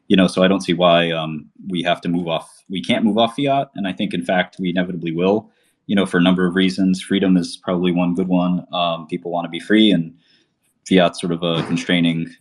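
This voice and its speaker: A man from Albania talking casually on a livestream, rambling with many fillers and restarts. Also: low-pitched. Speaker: podcast host.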